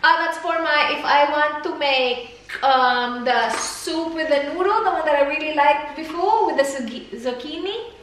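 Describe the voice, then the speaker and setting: A giggly young woman from the Philippines with a high voice talks playfully about her groceries. She sits far from the camera in a kitchen with heavy echo and a noisy background. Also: moderate pace, a bit bright. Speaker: vlogger. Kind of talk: casual chat. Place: large home kitchen, indoors.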